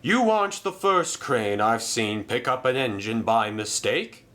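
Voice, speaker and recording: A man's voice: mid-range voice, expressive, fairly bright tone. A man voicing a cheerful, naive male steam engine in a storybook reading, upbeat and oblivious. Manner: upbeat, oblivious, slow and sunny.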